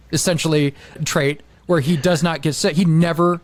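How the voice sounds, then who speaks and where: A man's voice: fairly high pitch, steady tone, moderate pace. A man chatting casually as a podcast host, telling stories and acting out quotes as he goes.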